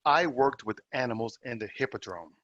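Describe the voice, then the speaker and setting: A man's voice: medium pitch, animated, a little fast, a bit bright, no room sound. An actor playing a humble, guarded young African man in ancient Rome, hesitant and earnest, in a drama read-through. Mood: hesitant, modest. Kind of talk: dramatic table-read acting. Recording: muffled, slightly lo-fi, dry.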